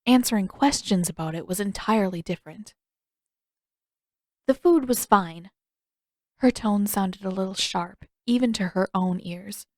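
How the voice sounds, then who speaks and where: A woman's voice: mid-range voice, normal speed, few pauses, a little mellow, no echo, clean studio sound. A woman reading fiction aloud as a scripted audiobook narration.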